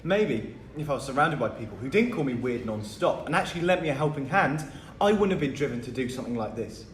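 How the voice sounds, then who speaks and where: A man's voice: mid-range voice, lively intonation, fast talker, lightly acted. A man playing a troubled, bitter teenage schoolboy in a script read-through. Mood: sullen. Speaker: actor at a script table read. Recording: roomy echo with faint noise.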